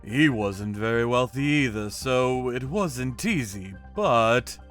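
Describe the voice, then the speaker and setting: A man giving dramatic first-person narration as a calm, righteous, menacing vigilante in an animated manga story. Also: mid-range voice, slow pace, soft background music. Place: studio voice-over with music behind.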